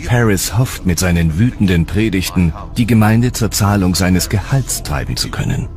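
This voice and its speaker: A man narrating a historical documentary from a script in a measured, serious way. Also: low-pitched, expressive, a little mellow. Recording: music underneath.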